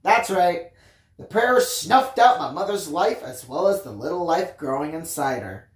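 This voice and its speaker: Low-voiced woman narrating dramatically. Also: animated, a little slow, reverberant. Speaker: audiobook reader. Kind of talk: expressive first-person story narration.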